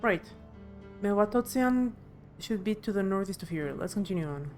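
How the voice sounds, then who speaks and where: A woman's voice: mid-range voice, lively intonation, normal speed, darkish tone, soft background music, close to an everyday voice. A woman voicing an observant, earnest travelling companion in a fantasy game, reading live with slight stumbles. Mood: thoughtful. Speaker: streamer voicing game dialogue. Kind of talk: game dialogue read aloud.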